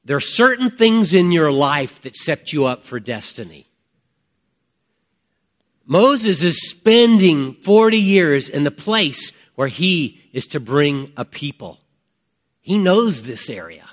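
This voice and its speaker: A man in his fifties preaching a conversational sermon, reflective and emphatic, with deliberate pauses and repeated phrases. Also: on the high side, very animated. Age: 50s. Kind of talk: conversational sermon with personal testimony.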